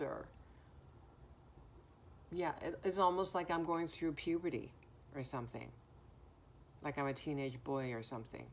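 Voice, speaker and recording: A woman giving a spiritual message in a gentle, soothing way, with a naturally deep voice that is somewhat hoarse. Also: a little hiss or hum. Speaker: spiritual card reader. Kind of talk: spiritual message, slow and reflective.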